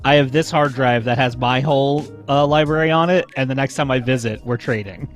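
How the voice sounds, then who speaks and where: A man's voice: medium pitch, brisk, faint music behind. A man around his thirties chatting casually with friends. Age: 30s. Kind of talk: casual chat.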